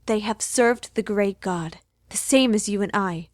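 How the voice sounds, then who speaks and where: An actress playing an earnest, troubled follower of a cult in a fantasy audio drama, speaking deferentially. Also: female voice, mid-range voice, unhurried, dry recording, clean studio sound, natural voice. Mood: anxious, earnest.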